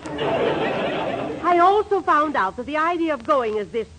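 A woman's voice: high voice, big pitch swings, slow pace, a crowd in the background, heavily acted. A woman playing a domineering middle-aged wife and mother in 1940s radio comedy, stern, formal and indignant. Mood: indignant, bossy. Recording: faintly noisy 1940s radio recording.

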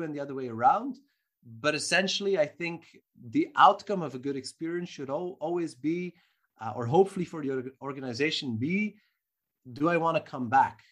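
A marketing professor answering interview questions thoughtfully, with frequent restarts and fillers. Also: male voice, on the high side, fairly bright tone. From Belgium.

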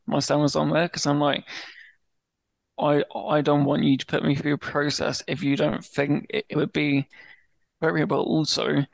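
A young man with a stammer, telling his personal story as a podcast guest and pacing his words slowly before speeding up. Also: a little high, vocal fry, no echo, poor audio. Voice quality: speaks with a stammer. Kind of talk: candid personal story in an interview.

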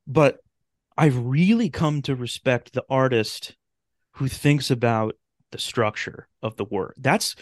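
A man's voice: mid-range voice, slow, no echo, clean studio sound. A man talking casually as an interview guest, thoughtful and searching for his words. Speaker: podcast guest, writer and radio drama creator.

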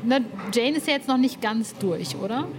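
A woman's voice: a little high, lively intonation, moderate pace. Informal woman interviewer amid crowd murmur. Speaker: podcast host, interviewer. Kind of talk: casual interview chat.

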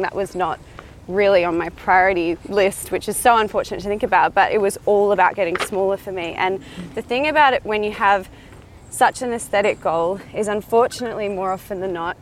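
A woman's voice: mid-range voice, a little hiss or hum. A woman, an entrepreneur answering as a guest in a casual interview, earnest and chatty and full of "like" and "kind of", while walking outdoors in a park.

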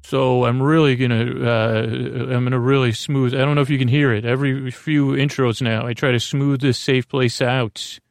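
Male podcast host, creaky and lulling, wandering and self-interrupting delivery, very dry recording over faint music. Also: mid-range voice, steady tone, dry recording. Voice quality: creaky, croaky. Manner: meandering, halting, stammering, self-interrupting; soothing, lulling. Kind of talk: rambling bedtime podcast monologue.

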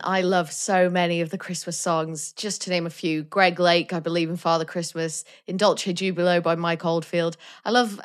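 A woman from Wales, co-hosting a podcast in chatty, self-mocking talk and laughing often. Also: fairly low pitch. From North Wales. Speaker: podcast co-host. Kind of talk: casual podcast chat.

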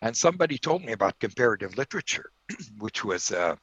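A middle-aged man from Lebanon talks thoughtfully as a guest professor, pausing on fillers and restarting his sentences. His voice is low-set, husky and gravelly. The sound is dry and dull. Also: big pitch swings, fairly bright tone, dry recording. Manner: reflective, with frequent fillers and restarts. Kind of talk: conversational interview.

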